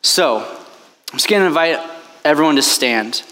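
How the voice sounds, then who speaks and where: A man's voice: a little high, lively intonation, unhurried, sharp tone. A man preaching as a priest to a retreat crowd, impassioned and chatty, with many fillers. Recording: long hall reverb, faint background noise.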